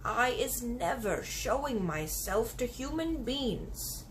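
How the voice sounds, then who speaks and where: A woman voicing a big, friendly old male giant who talks slowly in mangled grammar and made-up words, reading a storybook. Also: a little high, big pitch swings, slight background noise, voice pushed up, poor audio. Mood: stubborn.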